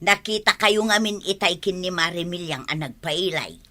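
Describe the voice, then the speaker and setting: A woman's voice: fairly low pitch, moderate pace, pushed and bright. A woman with an Ilocano Filipino accent playing a stubborn, complaining village neighbour in a comedy drama.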